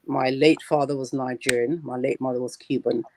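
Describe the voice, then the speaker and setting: Gravelly, assertive woman in her sixties. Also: extremely low pitch, steady tone, moderate pace, a little mellow, slight background noise, rough recording. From Britain. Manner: firm and assertive. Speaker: lawyer on a discussion panel. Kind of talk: panel discussion.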